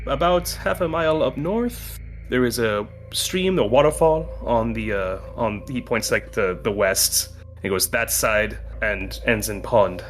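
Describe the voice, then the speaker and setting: Mid-pitched man chatting at a game table. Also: measured pace, soft background music. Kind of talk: casual chat, describing his character's actions.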